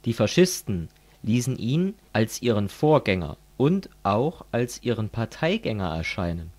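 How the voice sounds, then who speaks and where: A middle-aged man from East Germany in a scripted political dialogue, explaining things in a measured way. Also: on the low side, big pitch swings, darkish tone. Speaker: dialogue partner in a political audio piece.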